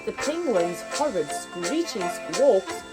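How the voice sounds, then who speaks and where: A woman's voice: mid-range voice, sing-song, slow pace, over loud music. A woman storyteller reading a children's storybook aloud, expressively, with a sing-song rhyming delivery.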